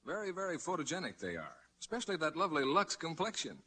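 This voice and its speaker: A man's voice: a little high, big pitch swings, fast, pushed and bright. A man announcing a scripted soap advertisement in 1940s radio style. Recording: faintly noisy old radio broadcast.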